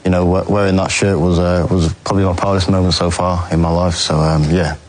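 A young man, answering interview questions in a halting way, full of ums and restarts. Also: sits low, flat delivery, slight background noise. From England. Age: young adult. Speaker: footballer guest.

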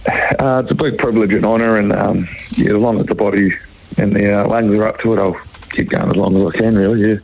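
Croaky-voiced Kiwi rugby player on the phone. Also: male voice, fairly low pitch, steady tone. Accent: New Zealand. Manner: halting, many fillers. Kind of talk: radio phone interview. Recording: muffled phone line.

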